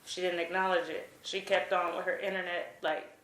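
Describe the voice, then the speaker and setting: A woman's voice: fairly low pitch, level tone, moderate pace, a bit bright. A young woman giving an interview, talking earnestly and hesitantly with many fillers and restarts. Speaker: interview guest. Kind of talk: candid interview testimony. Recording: roomy with faint noise.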